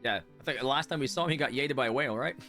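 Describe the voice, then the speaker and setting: Chatty man streaming a game, medium pitch. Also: rapid-fire, bright, forceful tone. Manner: musing aloud, chatty, joking. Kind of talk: casual live game commentary. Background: game music.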